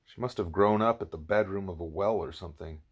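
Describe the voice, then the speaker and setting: Audiobook pupil, a young girl, read by a man in his own lowish voice: earnest, thoughtful, questioning. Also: fairly quick. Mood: earnest, reflective.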